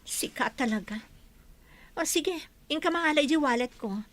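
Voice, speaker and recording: An actress playing a defensive, exasperated young wife in an Ilocano radio drama, with an Ilocano accent. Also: female voice, high voice, lively intonation, normal speed.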